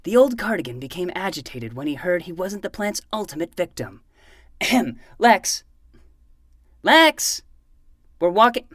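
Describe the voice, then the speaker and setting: A woman's voice: lowish voice, lively intonation, unhurried, long pauses, a bit bright, no echo, clean studio sound. A woman playing a brash, theatrical twelve-year-old boy detective in a fiction podcast, talking in a dramatic, mock hard-boiled way. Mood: insistent, dramatic.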